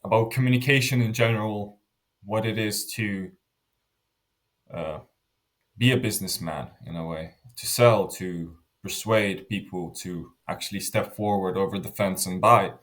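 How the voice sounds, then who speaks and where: Young lithuanian-born guy in his 20s, lowish voice, earnest, keeps restarting sentences. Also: steady tone, a little slow. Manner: earnest, frequent restarts and fillers, trailing off softly. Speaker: interview guest. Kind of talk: casual interview answers.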